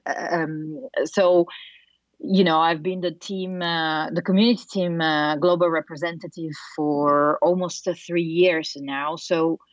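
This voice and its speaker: A woman's voice: deep voice, fairly even intonation, unhurried, dry recording, lo-fi sound. A woman from Italy with an Italian accent, a podcast guest chatting thoughtfully with many fillers and restarts. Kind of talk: casual interview chat.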